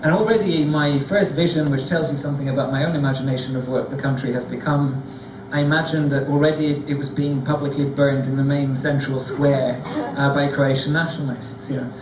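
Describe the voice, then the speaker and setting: A man on a discussion panel, telling a lively, self-deprecating story. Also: medium pitch, brisk, hardly any pauses, far from the mic, noisy background. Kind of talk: anecdotal storytelling. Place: large theatre stage before an audience. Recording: echoey hall recorded from afar.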